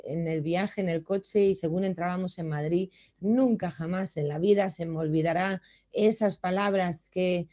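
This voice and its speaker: A middle-aged woman from Germany telling her personal work story in an earnest, reflective way, often pausing to hesitate. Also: lowish voice, dark tone, lo-fi sound. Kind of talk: personal testimony.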